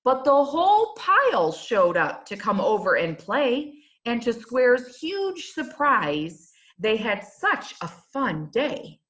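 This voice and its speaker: A woman reading a rhyming children's storybook aloud, in a measured and expressive teacher's manner. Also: fairly high pitch.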